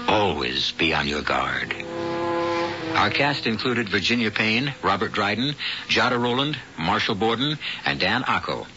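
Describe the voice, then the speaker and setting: A man hosting and narrating an old-time radio mystery in an ominous, deliberate, theatrical manner. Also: deep voice. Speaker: host and narrator. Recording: dull old radio recording, loud music. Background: loud music.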